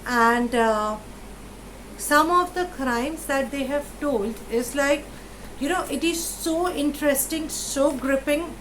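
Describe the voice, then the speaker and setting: A woman with an Indian English accent giving casual book recommendations to the camera, pausing often with fillers and restarting her sentences. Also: high voice, a bit bright, a little hiss or hum, poor audio. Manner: hesitant, many fillers and restarts. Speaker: book vlogger.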